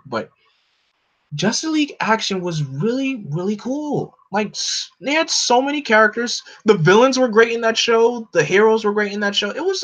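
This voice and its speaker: A man hosting a casual live stream, talking in an opinionated, animated way that jumps up in pitch when he gets excited. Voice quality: no regional accent. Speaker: streamer host. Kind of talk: casual chat to a live audience. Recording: dull lo-fi home stream audio.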